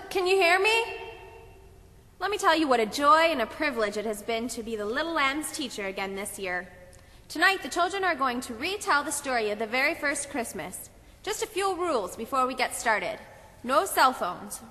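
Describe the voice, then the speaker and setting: A woman plays a gushing, prim schoolteacher in a puppet show. Her voice is high and animated, carrying through a reverberant hall. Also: moderate pace. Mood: effusive, bossy. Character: gushing, chatty female church children's teacher. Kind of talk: children's Christmas puppet show.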